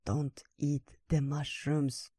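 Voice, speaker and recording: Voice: fast, a little mellow, dry recording, studio quality, close to an everyday voice. A narrator as a curt, sullen lynx. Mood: secretive. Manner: terse, low-key. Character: curt, sullen, guarded lynx fighter in a fairy tale.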